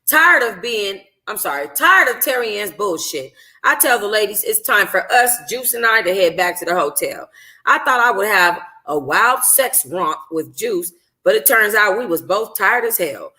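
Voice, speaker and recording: A woman hosting a book club, reading and chatting informally, sounding tired and slightly hoarse. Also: medium pitch, very animated, normal speed, bright, forceful tone. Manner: informal, conversational. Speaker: book club host reading a novel aloud. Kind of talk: expressive audiobook-style reading and casual livestream chat.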